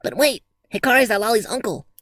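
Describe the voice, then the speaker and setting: A woman playing an eager, argumentative pitcher of ideas in a comedy skit, excitable and quick to protest. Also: dry recording, clean studio sound. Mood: indignant protesting.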